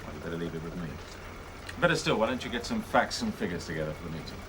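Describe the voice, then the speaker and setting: A man's voice: low-pitched, animated, very fast. A man playing a brusque British senior police officer in a TV drama, curt, authoritative and dismissive. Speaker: chief superintendent. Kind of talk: naturalistic TV police drama acting. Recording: noisy TV drama sound.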